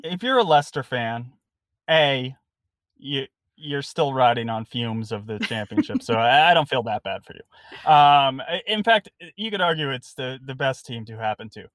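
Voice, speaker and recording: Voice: mid-range voice, animated, normal speed, fairly bright tone, no echo. A podcast panelist arguing opinions casually about sports.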